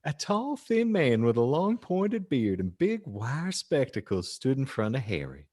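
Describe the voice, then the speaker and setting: A man's voice: medium pitch, very animated, a little slow, a little mellow. A man hosting a podcast, reading a story aloud with theatrical, mock-earnest flair and jokey asides. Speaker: podcast host and story reader. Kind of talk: comic audiobook narration with casual asides.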